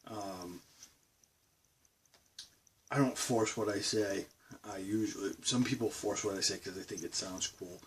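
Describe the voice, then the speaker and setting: A man's voice: fairly low pitch, measured pace, pauses often, recorded from a distance, slight background noise, poor audio. A man with a Boston accent rambling casually to his camera from his bedroom studio. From Massachusetts, USA. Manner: rambling, meandering talk. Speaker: vlogger.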